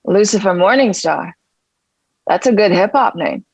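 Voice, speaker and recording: A woman's voice: medium pitch, animated, unhurried, vocal fry, a little mellow, husky, no room sound, full character voice. A woman playing a brash, streetwise male gangster rapper in a script table read. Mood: confrontational. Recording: dull, muffled lo-fi sound.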